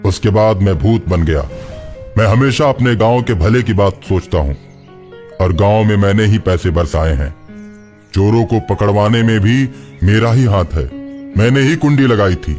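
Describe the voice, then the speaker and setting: A man acts out a kindly ghost watching over a village in an animated fable. The voice is low and dark, solemn and earnest, unhurried in its declarations. Faint music plays behind it. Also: close to an everyday voice. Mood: solemn, revealing. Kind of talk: animated Hindi moral story dialogue.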